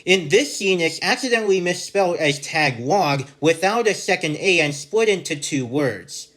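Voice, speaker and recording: A Canadian man with a general North American accent, hosting a show and reading a scripted episode review in a measured, list-like way. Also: high-pitched, lively intonation, a bit bright. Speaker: podcast host and episode reviewer.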